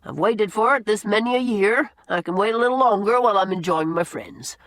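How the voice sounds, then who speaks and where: A woman's voice: mid-range voice, brisk, fairly bright tone, dry recording, close to an everyday voice. A woman reading aloud and voicing a proud, hospitable old sailor with folksy speech.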